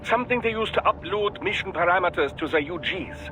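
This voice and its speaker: High-voiced pedantic tech expert. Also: male voice, expressive, brisk, sharp tone, poor audio. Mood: helpful, pedantic. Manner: precise, formal, explanatory. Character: quirky, precise male technical expert, pedantic and helpful. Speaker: technical adviser. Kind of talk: English video game voice acting.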